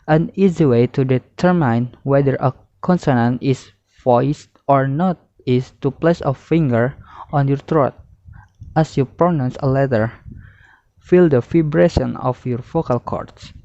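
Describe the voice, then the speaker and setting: Slow, mellow young man reading a lesson. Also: mid-range voice, dry recording. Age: young adult. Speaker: student presenter. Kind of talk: scripted lesson narration, reading slides. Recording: muffled, dull lo-fi audio.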